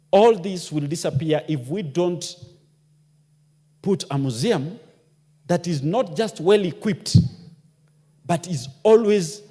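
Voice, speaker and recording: A man's voice: high-pitched, dark tone. A man giving an impassioned parliamentary speech, asking rhetorical questions. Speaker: member of parliament. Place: parliament chamber. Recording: long hall reverb.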